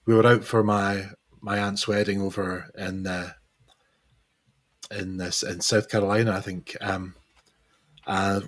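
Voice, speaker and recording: A man with a hoarse, recovering voice, chatting as a musician guest in an interview, hesitant and full of restarts. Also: fairly low pitch. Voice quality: hesitant, lots of fillers and restarts. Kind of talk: casual interview chat.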